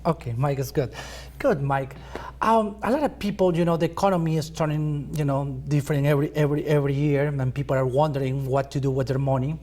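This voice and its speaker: A man hosts an interview in a small school studio, his voice sitting a little high. He talks haltingly, repeating words as he works his way into each question. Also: fairly even intonation, darkish tone. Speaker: talk show host. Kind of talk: interviewing a guest.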